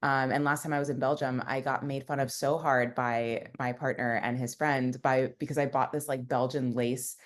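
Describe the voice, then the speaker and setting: A woman's voice: a bass voice, fairly even intonation, moderate pace, hardly any pauses. A young woman, a founder, telling her story in a casual interview, rambling with many fillers. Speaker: founder and guest.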